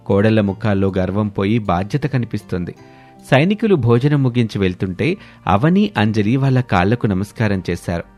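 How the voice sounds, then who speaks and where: A man narrates a family story from a script. His voice sits fairly low, and light instrumental music plays underneath. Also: a little mellow.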